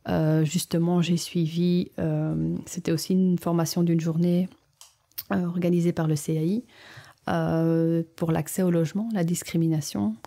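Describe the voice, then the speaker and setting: Woman, low monotone, gravelly, mellow, hesitant podcast guest. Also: unhurried. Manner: hesitant, many fillers. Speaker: guest from a local integration initiative. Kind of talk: conversational podcast interview.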